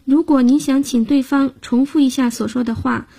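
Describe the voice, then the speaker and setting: A woman's voice: sits high, steady tone, moderate pace, creaky, dark tone, slightly rough. A woman hosting a radio language lesson, patiently explaining grammar and guiding listeners step by step. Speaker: language teacher and host.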